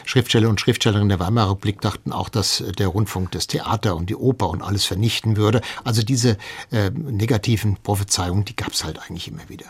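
A man's voice: lowish voice, fast talker, hardly any pauses, slightly rough. A man speaking as an expert guest, explaining history in an interview.

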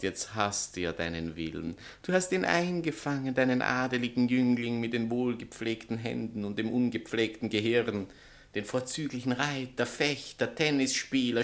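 A man's voice: medium pitch, pushed and bright. An actor playing a vain, scornful male writer and spurned lover in a satirical stage comedy, pompous and declamatory. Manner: pompous, theatrical, declamatory, swinging from outbursts to sneers. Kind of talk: theatrical satirical comedy acting.

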